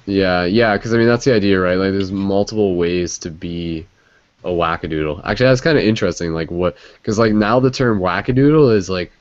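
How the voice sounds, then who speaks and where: Low-voiced rambling male host. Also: brisk. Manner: rambling, many fillers. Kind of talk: casual chat. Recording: dry dull lo-fi audio.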